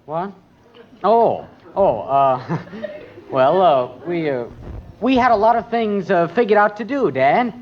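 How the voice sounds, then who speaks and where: An awkward teenage boy in a vintage radio comedy. He drawls slowly with a voice that jumps up and down. The sound is muffled and hissy. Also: high-pitched, animated. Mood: flustered. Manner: halting, drawling. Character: awkward, gee-whiz teenage son. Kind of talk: vintage radio sitcom acting.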